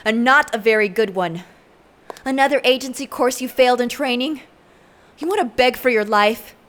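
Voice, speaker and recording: Woman acting a vengeful, high-voiced female agent. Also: moderate pace, fairly bright tone, close to an everyday voice. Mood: cold, accusing. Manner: accusing, sardonic, threatening. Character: dying, vengeful escaped female agent, tough and bitter. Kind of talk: video game cutscene acting.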